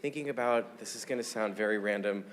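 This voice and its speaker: A man's voice: fairly low pitch, fast, heavy background noise. A man in the cast on a panel, playful and rambling as he lists people. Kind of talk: panel talkback.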